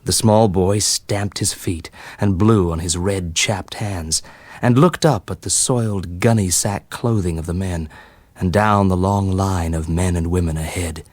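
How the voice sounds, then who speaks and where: Slow, low-voiced male story reader. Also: a little mellow, dry recording. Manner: measured, deliberate. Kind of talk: scripted dramatic short story narration.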